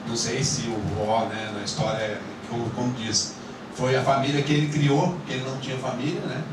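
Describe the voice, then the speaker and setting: Rambling Brazilian actor in an echoey room. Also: male voice, medium pitch, steady tone, a little fast. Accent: Brazilian Portuguese, São Paulo countryside. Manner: rambling, many fillers. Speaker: actor at a film screening. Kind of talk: casual talk to an audience. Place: screening room with audience. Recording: echoey room, distant mic, loud noise.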